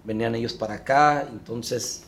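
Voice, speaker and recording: A middle-aged man tells his story as an interview guest. He speaks slowly and with feeling, pausing and restarting. Faint room ambience hums behind him. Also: medium pitch, a little hiss or hum. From Mexico. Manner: reflective, halting, emotional. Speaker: guest, father.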